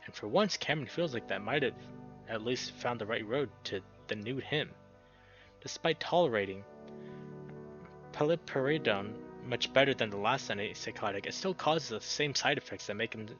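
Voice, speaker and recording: A man's voice: medium pitch, fairly bright tone, highs cut off. A man reading a visual novel aloud as a dramatic narrator.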